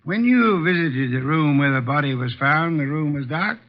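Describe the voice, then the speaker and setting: An actor playing a pompous, imperious, brilliant large detective in a theatrical old radio style. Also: male voice, fairly high pitch, moderate pace, lightly acted. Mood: commanding. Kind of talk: 1940s-50s radio acting. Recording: muffled old radio recording.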